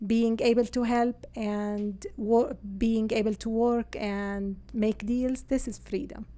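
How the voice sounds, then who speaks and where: Higher-voiced woman with an Arabic accent. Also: flat delivery, takes it slowly, warm tone. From Syria. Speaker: interview guest, real estate agent. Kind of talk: conversational podcast interview.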